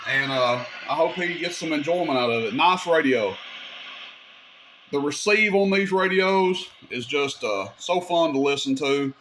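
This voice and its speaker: A man's voice: a little high, animated, unhurried, a bit bright, slight background noise, poor audio. A man giving a casual hands-on equipment demonstration, explaining things step by step in an informal, folksy way. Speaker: radio repair video host demonstrating gear.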